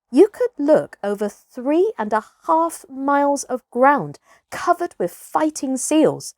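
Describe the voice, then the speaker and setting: A woman reading a children's story aloud in an expressive, theatrical way, with deliberate phrasing. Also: high-pitched, dry recording, clean studio sound. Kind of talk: expressive scripted children's audiobook narration.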